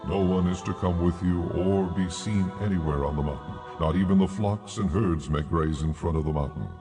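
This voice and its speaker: Over music comes a man acting a commanding God in an audio Bible drama. The voice is very deep and dark, and every command is delivered with grave, deliberate authority. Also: lightly acted. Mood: solemn, commanding. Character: God, commanding, solemn, stern divine lawgiver.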